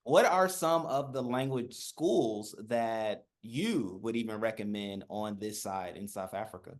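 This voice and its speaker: A man's voice: on the low side, a little slow. American man hosting, attentive interviewer. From United States. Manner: attentive, frequent short affirmations. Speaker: host. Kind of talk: interviewing a guest.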